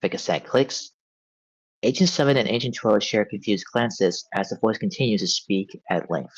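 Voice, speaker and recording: A man with a fairly low voice narrates scripted scene directions at a table read. The sound is dry and close, a little dull in the highs. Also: normal speed, breathy edge, no echo.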